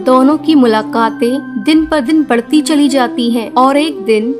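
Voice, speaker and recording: A woman narrates a children's story from a script. Her voice is high in pitch. Music plays clearly behind the narration. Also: measured pace.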